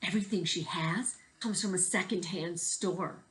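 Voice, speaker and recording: A woman's voice: fairly low pitch, moderate pace, a bit bright, natural voice, low-quality recording. A woman voicing taunting, gossiping schoolchildren in a storybook read-aloud. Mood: mocking. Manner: teasing.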